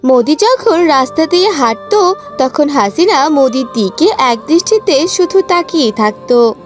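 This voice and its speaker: Lady telling a cartoon story, high lively voice, bit of music under it. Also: measured pace. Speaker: narrator. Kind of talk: scripted cartoon story narration.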